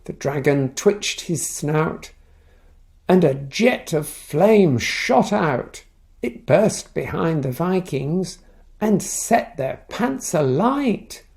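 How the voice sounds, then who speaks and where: A man reading a picture book aloud for children, with expressive, playful storytelling and little asides. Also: on the high side, drawn-out words, gravelly.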